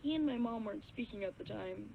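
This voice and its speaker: An earnest young caller, telling a hesitant story down a tinny phone line in a video game. The voice sits a little high, with faint hiss behind it. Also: female voice, lively intonation, fast, vocal fry. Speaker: radio call-in caller.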